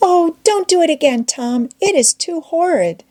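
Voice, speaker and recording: A woman's voice: very high-pitched, lively intonation, normal speed, dark tone, pitched above the performer's own voice. A woman voicing a frightened young girl in a storybook, anxious and pleading. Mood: pleading fear. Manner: pleading, apprehensive. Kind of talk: children's novel read aloud.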